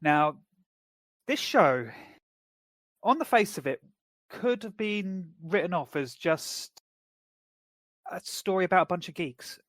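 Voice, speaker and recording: A man with a somewhat higher voice co-hosting a casual podcast. He explains and summarizes in a measured, steady way. The sound is dry and close to the mic. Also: no echo. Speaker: podcast co-host. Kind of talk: casual chat.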